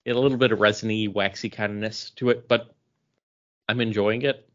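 A man co-hosting a podcast, chatting casually in an animated, rambling way. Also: lowish voice, normal speed. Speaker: podcast co-host. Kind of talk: casual pop culture and beer chat. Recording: dull, lo-fi podcast audio.

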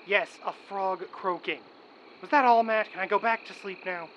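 A man plays a grumpy coworker on a late-night call in an audio drama. He is curt and exasperated, with a bright, sharp voice over faint noise. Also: sits high, moderate pace, dull audio. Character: grumpy, sleepy male coworker woken late. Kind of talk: audio drama acting, phone conversation.

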